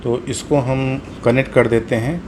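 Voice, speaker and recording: A man's voice: mid-range voice, steady tone, normal speed, noisy background. A man with an Indian accent explaining a hands-on product demonstration step by step, repeating his points. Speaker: host of a motorcycle gear tutorial.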